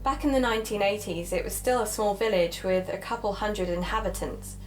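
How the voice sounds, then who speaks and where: A woman's voice: mid-range voice, fairly quick, soft background music, natural voice, low-quality recording. A woman playing a keen young amateur podcaster in an audio drama, chatty and explanatory in manner. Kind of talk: naturalistic audio drama dialogue and narration.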